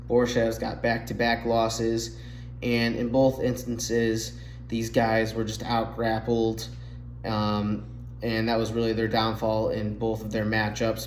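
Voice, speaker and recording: A man's voice: lowish voice, a little hiss or hum. Nasal man breaking down fight picks. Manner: chatty, fillers, slightly rambling analysis. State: nasal from recent illness. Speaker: MMA podcast host making fight picks. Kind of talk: casual solo fight breakdown. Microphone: phone mic. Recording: echoey room on phone mic.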